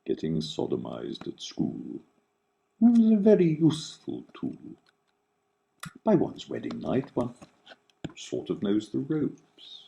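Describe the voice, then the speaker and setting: A man reciting comic rhyming verse theatrically, in a wry, mock-grand manner with drawn-out dramatic phrasing. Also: medium pitch, wildly swooping pitch, vocal fry, dark tone, dull audio. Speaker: author reading own satirical verse.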